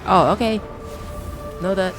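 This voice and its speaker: A woman doing casual live commentary while she plays a game, excitable and exclamatory, often talking to herself. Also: medium pitch, measured pace. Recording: faint game music behind voice. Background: game music.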